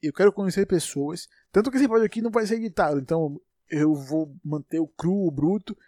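A man's voice: fairly high pitch, fast talker, warm tone, dry recording, clean studio sound. A man in his twenties from Salvador with a Bahian accent, hosting a solo podcast in a rambling, self-correcting way. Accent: Bahian Portuguese. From Salvador, Bahia, Brazil. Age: 20s. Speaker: podcast host. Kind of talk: unedited solo podcast monologue.

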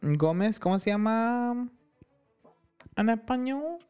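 A man's voice: extremely high pitch, clear, resonant tone, muffled recording, soft background music. A man with a Chilean accent co-hosting a podcast in casual, slangy chat, full of restarts. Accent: Chilean Spanish. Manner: slangy, animated, halting restarts. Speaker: podcast co-host.

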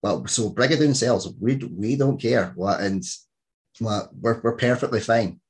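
A man's voice: fairly low pitch, a little slow, slightly rough. A Scottish man in his thirties hosting a podcast interview, telling stories in a rambling way with many restarts. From Scotland. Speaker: podcast host. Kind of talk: casual interview chat.

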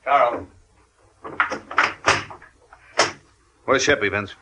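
An actor playing a gruff, impatient American crook who talks in curt tough-guy slang, in an old-time radio crime drama. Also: medium pitch, moderate pace, highs cut off, natural voice. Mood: gruff, defensive. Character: gruff, impatient male crook, scheming and quick to bristle. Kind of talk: 1940s-50s radio crime drama acting. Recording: noisy, crackly old radio recording.

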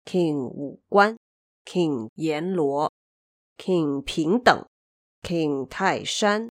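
A woman's voice: low voice, dry recording, studio quality, heavily acted. A woman voicing solemn, deferential kings of the underworld in an audio drama, formal and placating.

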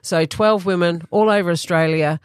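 A man with a New Zealand accent chats as a guest, describing his guided trips with upbeat enthusiasm. His voice is fairly high for a man and comes through dry and close, with no echo. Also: fairly even intonation, normal speed, clean tone. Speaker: guest, fishing trip guide. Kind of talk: casual chat interview.

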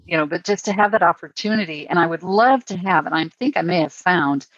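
An older woman hosts a casual podcast chat, talking at an unhurried pace. Her voice sits very low, and the recording is dry and a bit dull, like a lo-fi call. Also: lively intonation, a bit bright, dry recording. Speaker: podcast host.

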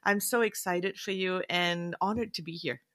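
A middle-aged woman from Malaysia, a career coach who is a guest in an interview, talking in an expressive, warm way and laughing often. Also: on the low side, normal speed. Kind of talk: casual interview conversation.

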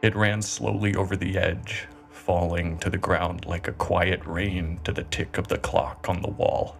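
A man playing a calm, self-justifying cannibal killer in a brooding, deliberate horror monologue. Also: sits low, vocal fry, faint music behind. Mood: menacing, hushed confession. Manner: brooding, deliberate, measured with dramatic pauses. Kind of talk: scripted horror monologue, dramatic narration.

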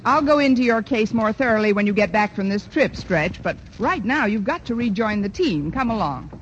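An actress playing a dry, sardonic but kindly schoolteacher in a 1940s radio sitcom. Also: female voice, mid-range voice, lively intonation, close to an everyday voice. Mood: wry. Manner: sardonic, quick with quips. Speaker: teacher. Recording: lo-fi hissy old radio broadcast.

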